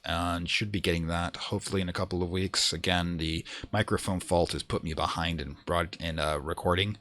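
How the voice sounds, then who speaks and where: A man's voice: sits low, a bit bright, dry recording. A man hosting a radio theatre show, talking casually to viewers in a wordy, slightly hesitant way.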